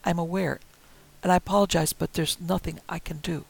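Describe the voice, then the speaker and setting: A man's voice: on the high side, steady tone, a little fast, gravelly, slightly rough, heavily acted. A man voicing a tired, apologetic police operator who cannot help. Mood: weary. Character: weary unhelpful male police operator.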